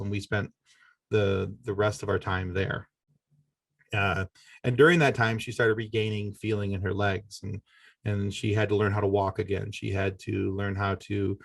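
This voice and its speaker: Low-voiced middle-aged man, dry close sound. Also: vocal fry, dry recording. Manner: halting, conversational, self-correcting delivery. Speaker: podcast guest. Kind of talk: personal storytelling in a podcast interview.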